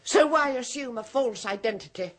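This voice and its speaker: An actress playing a knowing, mocking woman in a radio drama, speaking in a slow, drawn-out manner. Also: a little high, expressive. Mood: sly. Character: knowing middle-aged woman, sister of a medium, sly and mocking. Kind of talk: 1970s radio drama acting.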